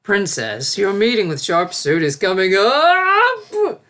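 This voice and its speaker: A woman's voice: medium pitch, big pitch swings, takes it slowly, a bit bright. A woman voicing a fussy, easily flustered older male unicorn steward in a storybook reading. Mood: urgent.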